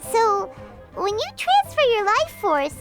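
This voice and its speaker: A woman voicing a tiny, cheeky, curious fairy companion in a video game, with a squeaky childlike voice. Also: sing-song, takes it slowly, exaggerated voice. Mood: curious, concerned. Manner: speaks of herself in third person. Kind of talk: animated video game voice acting. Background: game music.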